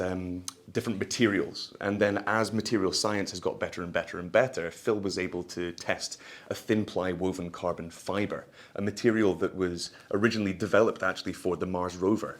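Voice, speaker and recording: A man with a lowish voice speaks as a company presenter at a product launch. His delivery is polished and florid, the language of a sales pitch, broken by hesitant asides.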